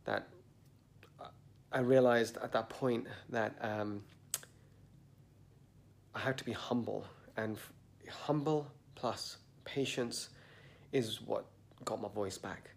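A man with a slightly blocked nose hosting a motivational podcast, talking in an earnest, slow and deliberate way and stressing his words. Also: on the low side, gravelly. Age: adult, a parent. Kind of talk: reflective motivational monologue. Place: sitting indoors at dawn.

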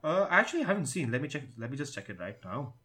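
A man's voice: medium pitch, very animated, fast talker, bright, forceful tone. A man with an Indian accent hosting a casual tech podcast in an informal, sweary way. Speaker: podcast host.

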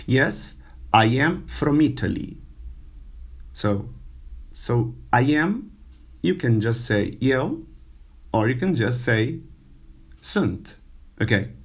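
A man who is not a native English speaker giving an informal language lesson, halting and full of fillers, repeating words for the learner. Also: mid-range voice, very animated, slightly rough. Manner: slow, halting, many fillers and repetitions. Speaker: language teacher.

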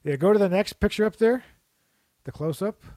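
A man with a somewhat high voice rambles through jokey stories in casual chat, often restarting his sentences. The sound is close and dry, with no room around it. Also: expressive, normal speed, warm tone, no echo.

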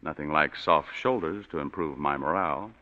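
Deep, bright-edged hard-boiled detective, dry wisecracks, old lo-fi radio. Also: male voice, normal speed. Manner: dry, sardonic first-person narration. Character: tired, wisecracking hard-boiled male private eye. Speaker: narrator. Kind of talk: 1940s radio acting. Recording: dull lo-fi 1940s radio broadcast with music.

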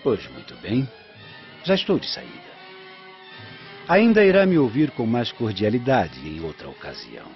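Radio villain, predatory prefect, lowish and extremely animated, pompous, dull sound with light music behind. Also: male voice, moderate pace, long pauses. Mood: smug, menacing. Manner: pompous, insinuating. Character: arrogant, predatory Roman prefect, smooth villain. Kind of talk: radio drama acting. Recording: muffled radio drama with faint music.

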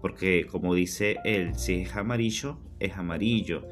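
Low-voiced man, guitar music behind. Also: unhurried. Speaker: podcast co-host. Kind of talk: casual podcast chat.

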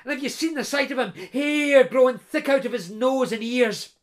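A storyteller voicing a young runaway bride, pleading and breathless. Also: male voice, extremely high pitch, moderate pace, voice pushed up.

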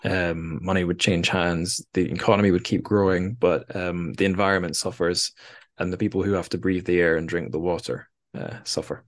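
A man's voice: sits low, steady tone, moderate pace. A man hosting a podcast, discussing books casually and reflectively, feeling for his words in an informal way. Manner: hesitant, reflective, searching for words. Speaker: podcast host.

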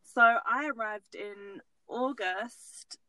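A woman's voice: medium pitch, slow, bright, forceful tone, dry recording, low-quality recording. A young Dutch woman in her twenties with an English accent, chatting as a podcast guest and laughing easily. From Netherlands. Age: 20s. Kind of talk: casual chat.

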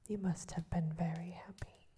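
A woman's voice: fairly low pitch, steady tone, moderate pace, mellow, a little hiss or hum, lightly acted. A woman whispering the lines of eager, curious little mice in a storybook reading.

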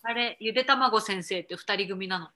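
A woman's voice: medium pitch, brisk, bright, forceful tone. A woman co-hosting a radio-style podcast, chatting casually and reading out show announcements.

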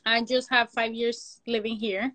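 A woman from Mexico City with a Mexican Spanish accent, Spanish her first language, speaking English. She chats loosely as a guest, piling on "like" and laughing easily. Her voice sits fairly high, and the sound is close and dry with no room around it. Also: little pitch movement, unhurried, bright, forceful tone, no room sound. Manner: rambling, frequent "like" fillers, non-native grammar, laughs often. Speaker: guest, makeup and prosthetic artist. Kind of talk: casual interview.

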